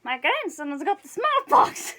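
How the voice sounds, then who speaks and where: Coarse old French cart-driver hag, acted out by a female reader, brazen and taunting, high voice, storybook villainess. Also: very animated, moderate pace, bright, forceful tone, exaggerated voice. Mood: sly.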